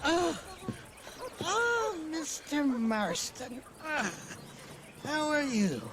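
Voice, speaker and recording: An actor playing a cowardly, wheedling Irish drunk in a western video game, whining and excitable. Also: male voice, very high-pitched, drawn-out words, background noise, full character voice. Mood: sheepish, wheedling. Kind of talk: video game cutscene acting.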